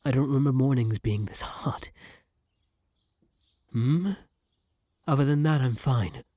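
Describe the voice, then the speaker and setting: Man acting as vampire, predatory yet devoted, theatrical ASMR roleplay, breathy, dark voice, close dry sound. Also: mid-range voice, dry recording, lightly acted. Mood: tender, relieved. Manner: theatrical, drawn-out endearments, breathy whispers. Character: hungry male vampire predator, taunting yet devoted lover.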